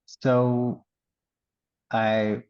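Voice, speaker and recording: A middle-aged man from the Dominican Republic and Puerto Rico, an artist in residence, telling his life story in a relaxed, rambling way with many fillers and laughs. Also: medium pitch, drawn-out words, clean tone, no room sound, muffled recording. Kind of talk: oral history interview.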